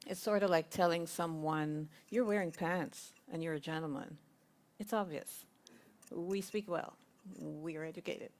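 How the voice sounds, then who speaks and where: A woman, sharing thoughtful reflections in a group discussion at a spiritual centre. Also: fairly low pitch. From Guyana. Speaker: congregation member sharing.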